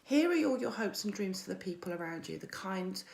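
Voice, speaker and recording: Croaky-voiced woman coach on a livestream. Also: medium pitch, sing-song, a little fast, darkish tone. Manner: chatty, greets viewers by name. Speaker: mindset coach. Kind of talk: weekly social media livestream.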